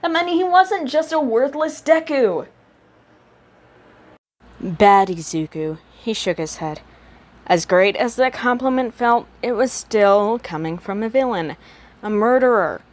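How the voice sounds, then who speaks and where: A woman's voice: fairly high pitch, expressive, measured pace. A woman reading a story aloud as a narrator, voicing the character's thoughts expressively. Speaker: amateur audiobook narrator reading fan fiction.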